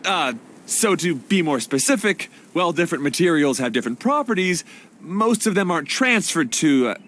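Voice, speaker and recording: An actor voicing a laid-back, friendly young caretaker in an anime fantasy game, speaking in a casual, slangy way. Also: male voice, fairly high pitch, expressive, moderate pace, noisy background, close to an everyday voice. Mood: easygoing.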